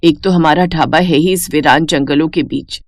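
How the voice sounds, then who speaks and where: A woman voicing a humble, caring forty-year-old village mother who speaks in a gentle, homely, respectful way in a cartoon story. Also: low voice, steady tone, moderate pace, no room sound, voice pushed down. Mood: worried, motherly.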